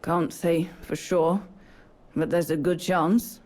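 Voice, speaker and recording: A woman's voice: lowish voice, steady tone, takes it slowly, darkish tone. An actress playing a curt, guilt-ridden old woman in a story game, speaking with weary deliberation. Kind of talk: dramatic game dialogue.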